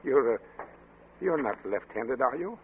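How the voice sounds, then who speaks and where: A man playing an anxious, hesitant doctor in a 1940s radio suspense drama, speaking in halting, agitated stammers. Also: mid-range voice, expressive. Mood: worried, probing. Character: anxious, hesitant scientist's colleague, a fretful doctor. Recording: tinny, noisy old radio recording.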